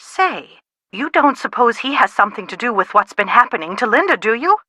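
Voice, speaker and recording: A woman playing an anxious, sharp-tongued middle-aged mother in a video game, with a dry, dramatic manner. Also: on the high side, big pitch swings, a little fast, dry recording, exaggerated voice. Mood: wry, concerned. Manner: exasperated, dramatic, dry wit. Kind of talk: video game dialogue, phone conversation acting.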